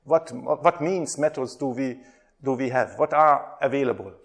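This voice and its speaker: A man's voice: medium pitch, moderate pace, a bit bright. A middle-aged man with a Hungarian accent giving a workshop talk, hesitant and chatty, putting questions to the audience. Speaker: workshop presenter, theology lecturer.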